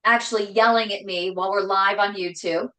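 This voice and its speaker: A woman hosting a casual live cooking show from her home kitchen, talking loudly and emphatically with a voice that carries. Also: mid-range voice, steady tone, fairly quick, roomy sound, rough recording. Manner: loud voice that carries, chatty and emphatic. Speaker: host cooking on a live stream.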